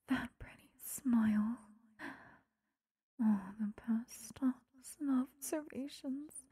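Woman as a slow, breathy, whispering vampire seductress. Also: fairly high pitch, warm tone, no echo, full character voice. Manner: slow, intimate, lingering delivery. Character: obsessive, possessive female vampire stalker, seductive and doting. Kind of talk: whispered ASMR roleplay. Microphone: close microphone.

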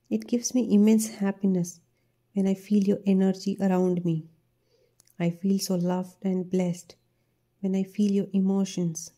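Woman, tender intimate monologue, soft and partly whispered; very warm dark tone, even and unhurried. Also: mid-range voice. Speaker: speaker addressing a loved one.

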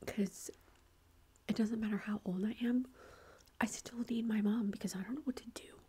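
Woman, thirties, whispered chatty storytelling, flat delivery, faint background hiss. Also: medium pitch, normal speed. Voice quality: whispering throughout. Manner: chatty, many fillers like "like". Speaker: vlogger.

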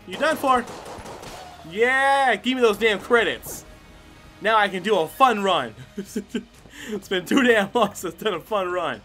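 Male gaming host, bright forceful voice, lively intonation, exclamatory, sweary, casual play-by-play, music behind. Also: extremely high pitch. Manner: exclamatory, swearing, talking to himself and the game. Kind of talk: casual excited gameplay commentary. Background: game music.

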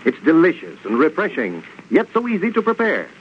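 A man's voice: a little high, wildly swooping pitch, fairly quick. A man announcing and reading sponsor messages in a 1930s radio style. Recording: dull, noisy old radio recording.

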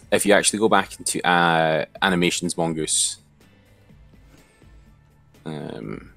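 Male software tutor, deep register, informal and hesitant walk-through, faint music bed. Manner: hesitant, thinking aloud. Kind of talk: informal step-by-step screen tutorial.

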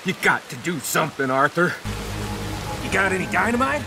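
Western game prisoner: young outlaw, desperate, gravelly, wildly swinging pitch, music loud behind. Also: male voice, very animated, brisk, heavily acted. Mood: desperate pleading. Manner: agitated, pleading. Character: desperate, pleading young American jailed outlaw. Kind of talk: video game western acting.

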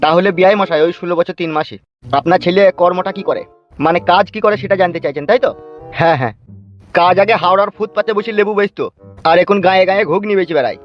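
A man's voice: high voice, quick pace, close to an everyday voice. A man playing a brash, pushy village father of the groom in a comic cartoon, in a dull recording with music. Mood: chatty, pushy. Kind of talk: comic cartoon dialogue in rural speech. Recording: dull lo-fi audio with music underneath.

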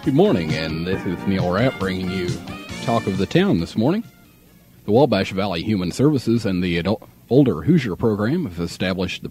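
Low, husky young American radio announcer man. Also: warm tone. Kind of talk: scripted local radio announcements. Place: radio studio. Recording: muffled old radio aircheck tape.

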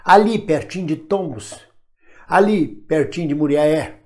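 A man's voice: a little high, unhurried, darkish tone. An older Brazilian man from Minas Gerais, an actor speaking straight to camera at home, emphatic and proud as he defends himself. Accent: Brazilian Portuguese, national standard. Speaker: actor addressing followers. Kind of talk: direct-to-camera monologue. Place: home room with bookshelf, indoors.

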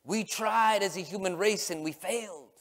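High-pitched male preacher in a reverberant hall. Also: normal speed. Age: middle-aged. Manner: emphatic, repetitive, swinging from loud exclamations to hushed murmurs. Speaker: pastor preaching. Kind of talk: sermon. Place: large church sanctuary, on stage. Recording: long hall reverb from sanctuary.

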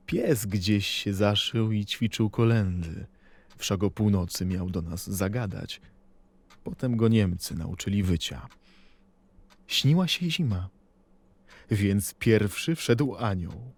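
A man playing a poet who tenderly recalls his childhood in a reflective, deliberate literary recitation for an audio play, with expressive pauses. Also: lowish voice, dry recording. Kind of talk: dramatic poetry and memoir recitation.

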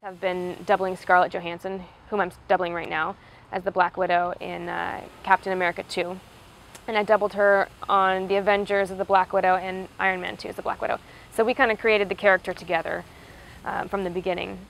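A woman's voice: mid-range voice, level tone, brisk, creaky, a little hiss or hum, low-quality recording. A young woman who works as a stunt double, answering interview questions casually outdoors on a patio.

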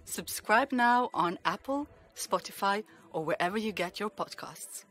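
A woman's voice: medium pitch, expressive, a little slow, a bit bright. Woman announcing a drama trailer over music. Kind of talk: scripted promotional narration.

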